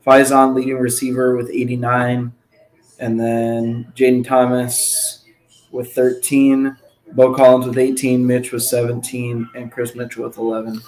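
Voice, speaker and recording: Guy reading stats dead flat, hardly any pitch movement, matter of fact. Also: measured pace, poor audio. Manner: reads numbers in a level, matter-of-fact way. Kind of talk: casual live-stream sports chat.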